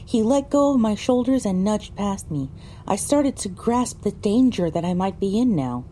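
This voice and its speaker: A woman playing a pony who narrates her own ordeal in a measured, dramatic audio drama style. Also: mid-range voice, expressive, dark tone, slight background noise, close to an everyday voice, low-quality recording. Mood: grave, reflective.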